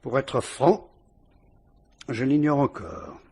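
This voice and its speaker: An actor playing a shrewd police inspector who questions a suspect with dry irony, in a vintage radio drama. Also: male voice, mid-range voice, slow, a little mellow, breathy edge. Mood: probing, ironic. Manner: sly, probing, mocking interrogation.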